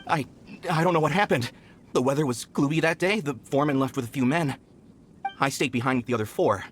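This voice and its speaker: An actor playing a frightened, flustered mine worker in a video game, pleading with hesitant stammers. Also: male voice, medium pitch, fast, fairly bright tone, a little hiss or hum, natural voice. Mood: anxious. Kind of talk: video game character acting.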